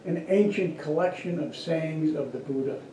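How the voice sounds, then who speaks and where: A man reading aloud formally at a church service with measured, deliberate phrasing. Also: on the high side, dark tone. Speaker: worship service reader. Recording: dull, roomy, distant, noisy church recording.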